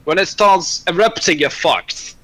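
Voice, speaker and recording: A man's voice: sits high, measured pace, pushed and bright. A man chatting casually on a stream in a blunt, rambling, sweary way. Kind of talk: casual chat.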